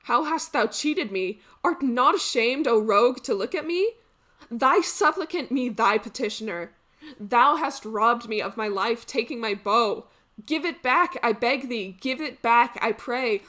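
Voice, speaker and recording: A woman playing a betrayed, wretched male exile in a Greek tragedy monologue, declaiming archaic lines in drawn-out anguish. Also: fairly high pitch, animated, bright, forceful tone. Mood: anguished, pleading. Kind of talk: theatrical classical tragedy monologue.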